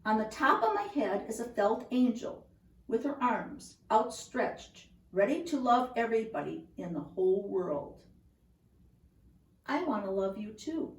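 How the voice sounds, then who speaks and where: Slow-reading woman in a roomy, distant recording. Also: on the high side, sing-song. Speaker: storybook reader. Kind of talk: reading a children's picture book aloud. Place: indoors at home.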